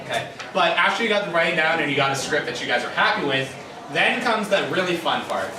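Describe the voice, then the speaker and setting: A man's voice: a little high, animated, fast, reverberant. A man giving an informal panel talk to an audience, stammering and rambling loosely, recorded from a distance in a noisy room. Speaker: convention panelist, fan dubber.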